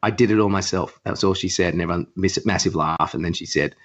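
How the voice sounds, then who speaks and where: A man's voice: sits low, fast talker. A man co-hosting a podcast in casual chat, talking in a rambling, irreverent way. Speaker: podcast co-host.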